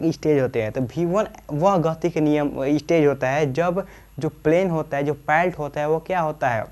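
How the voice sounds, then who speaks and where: Male teacher, Bihari accent, slightly high voice; colloquial explainer who keeps posing and answering his own questions. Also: darkish tone. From Bihar, India. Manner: repeatedly poses questions and answers them himself; colloquial, slips into local dialect. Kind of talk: informal classroom-style explanation.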